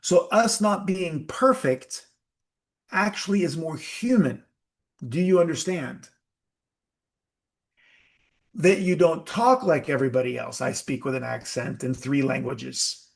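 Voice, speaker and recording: A man leading a live group workshop, talking slowly and emphatically and putting questions to his audience. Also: on the high side, lively intonation, creaky. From United States. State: sweating. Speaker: workshop leader.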